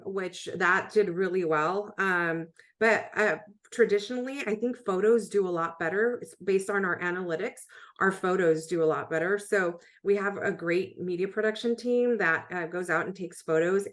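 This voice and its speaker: A woman's voice: on the low side, a bit bright. A woman answering questions as a panelist in a conference session, giving informal advice in a direct, emphatic way. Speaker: panelist, school district communications leader.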